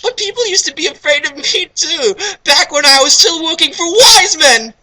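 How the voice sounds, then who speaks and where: A man's voice: very high-pitched, lively intonation, moderate pace, bright, forceful tone, voice pushed up, exaggerated voice. A man voicing a cheerful, naive young female jester creature with a mock British Cockney accent, gushing and sweet. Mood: curious, innocent.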